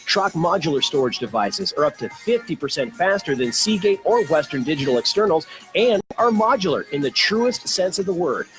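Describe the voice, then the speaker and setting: A man's voice: a little high, sing-song, moderate pace, few pauses, a bit bright, poor audio. A man reading scripted radio commercial narration as an announcer. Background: music bed.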